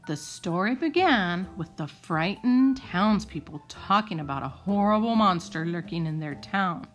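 A woman reading a children's picture book aloud in an expressive, theatrical and deliberate way. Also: on the low side, soft background music.

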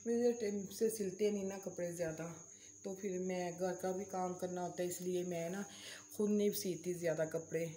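A Pakistani woman talks casually to her vlog viewers in a homely, pious, repetitive way. Her voice is mellow and fairly even in melody. The room adds clear echo. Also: on the low side, moderate pace. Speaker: vlogger. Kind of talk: casual home vlog chat. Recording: roomy echo, faint background hiss.

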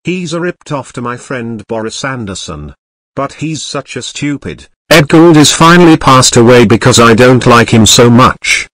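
A man voices a bratty cartoon boy who rants with big swoops of pitch. The sound is dry and close, and the delivery stays blunt and insulting. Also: very animated, a little slow, a bit bright, no echo, poor audio. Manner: whiny, insulting ranting. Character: bratty young boy troublemaker. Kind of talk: cartoon grounded-video dialogue.

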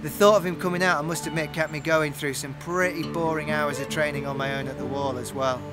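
A man's voice: a little high, fairly even intonation, brisk, music in the background. A man narrating his own climbing training year in a candid, self-deprecating way. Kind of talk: narrated voice-over and casual chat.

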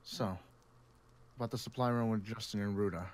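A man playing a steady, supportive survivor in an improvised zombie roleplay, terse and conciliatory. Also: on the low side, brisk, slight background noise, close to an everyday voice. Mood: calm.

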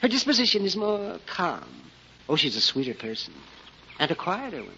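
High-voiced, courtly old-radio plant hunter. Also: male voice, huge pitch swings, moderate pace, bright, forceful tone, exaggerated voice. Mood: confiding. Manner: courtly, formal, exclamatory. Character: genteel, eager, excitable traveling plant hunter. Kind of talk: 1940s radio acting. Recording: muffled, faintly noisy 1940s radio recording.

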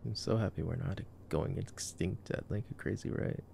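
A young man doing an ASMR boyfriend roleplay as casual movie commentary, talking in a sarcastic, slangy, low-key way. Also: normal speed, creaky, warm tone, slight background noise.

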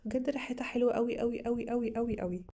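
A young woman with an Egyptian Arabic accent, slightly hoarse from a cold, chatting enthusiastically to viewers as she reviews beauty products at home. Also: on the high side, very fast, warm tone. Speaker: beauty vlogger. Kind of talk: casual product haul review.

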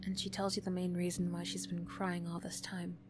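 A woman narrating an audio roleplay in a soft, hushed ASMR storytelling style. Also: lowish voice, completely flat delivery, brisk, over music.

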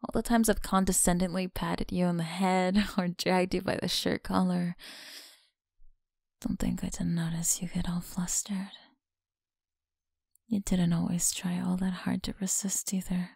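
A woman voicing a mean, teasing tsundere college bully in an intimate ASMR roleplay, creaky and often dropping into breathy whispers. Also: fairly low pitch, level tone, unhurried, darkish tone, dry recording, studio quality, exaggerated voice. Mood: domineering, teasing.

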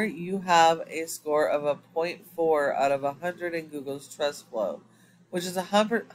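A man giving a screen-recorded website audit as an SEO consultant, explaining step by step with frequent ums. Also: a little high.

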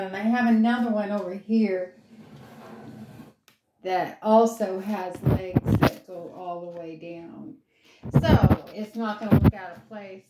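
A middle-aged woman vlogger casually walking through a home DIY project in a matter-of-fact, unhurried way. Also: mid-range voice, a little mellow, slight background noise. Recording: roomy echo with music.

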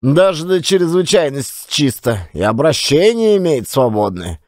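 A man's voice: fairly high pitch, big pitch swings, normal speed, dry recording, clean studio sound. An actor playing a garrulous, folksy provincial Russian man telling rueful yarns in colloquial, theatrical style for a dramatized audiobook. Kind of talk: dramatized audiobook storytelling, monologue.

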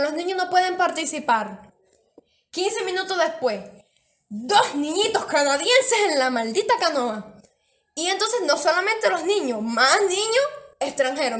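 High-voiced Cuban young woman. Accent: Cuban, Santiago. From Cuba. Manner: animated, complaining. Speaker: co-host. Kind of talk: casual reaction chat. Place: indoor room with red curtain.

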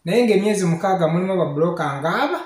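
A man's voice: sits high, lively intonation, very fast, darkish tone. A man in his thirties with a Ugandan accent, giving informal, explanatory tips as a property consultant, often checking in with short questions. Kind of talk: informal instructional talk, mixing two languages. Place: small indoor office with hard walls. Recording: echoey small room.

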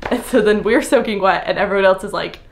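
A young woman from the United States chatting casually to the camera in a small room, relaxed and full of "like" fillers. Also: mid-range voice, fast talker, a little hiss or hum. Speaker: vlogger. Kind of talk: casual talking-head vlog. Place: small indoor room with plain wall.

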